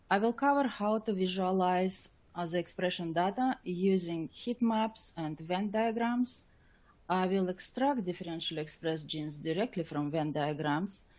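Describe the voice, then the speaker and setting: Low-voiced woman giving a halting technical lecture. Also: darkish tone. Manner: halting, hesitant delivery. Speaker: scientist presenting a webinar.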